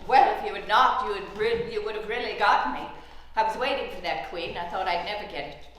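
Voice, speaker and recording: A woman's voice: medium pitch, a little fast, a bit bright, natural voice. An actress playing a genteel older woman, patient but firm, folksy and mildly protesting in a naturalistic stage drama. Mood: explaining. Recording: very echoey room.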